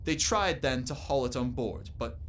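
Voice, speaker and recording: A man playing a precise, curious naturalist scholar in a radio play, speaking formally and in a measured way. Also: medium pitch, expressive, fairly bright tone, slight background noise, close to an everyday voice. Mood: assured, explanatory.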